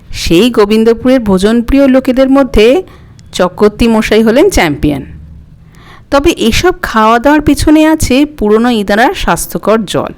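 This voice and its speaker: A woman's voice: a little high, darkish tone. A woman reading a story aloud as a scripted audiobook narrator. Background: music.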